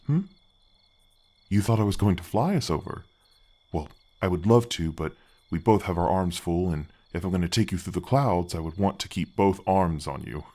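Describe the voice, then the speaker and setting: A big, helpful male gargoyle, acted by a man with a very deep voice, lowered by an effect. He talks softly and earnestly, as in a fantasy ASMR roleplay, with courteous, slightly hesitant phrasing that makes him a kindly, bashful guardian. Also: moderate pace. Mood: friendly, curious. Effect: deep-voice pitch effect. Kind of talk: ASMR fantasy roleplay, soft conversational acting.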